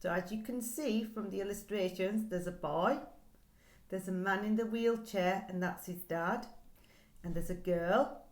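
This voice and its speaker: A middle-aged woman speaks as a teacher giving a read-aloud lesson. Her pace is slow and deliberate, with expressive storytelling and questions for young listeners. The sound is echoey, picked up by a desk-distance microphone in a small room. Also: mid-range voice. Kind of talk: read-aloud lesson for pupils, reading and explaining a children's book. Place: small plain indoor room. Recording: echoey, roomy indoor recording.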